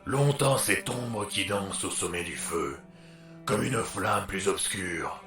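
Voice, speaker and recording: An actor playing a gruff, distrustful, world-weary healer in an audio drama, blunt and sardonic. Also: male voice, sits low, slow pace, fairly bright tone, faint music behind. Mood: solemn recital.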